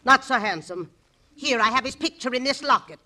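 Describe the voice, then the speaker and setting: A woman playing a brusque, sharp-tongued middle-aged laundress mother in a 1940s radio comedy. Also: high-pitched, expressive, brisk, full character voice. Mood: scornful. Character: scolding middle-aged laundress mother, scornful of her runaway husband. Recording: old 1940s radio broadcast.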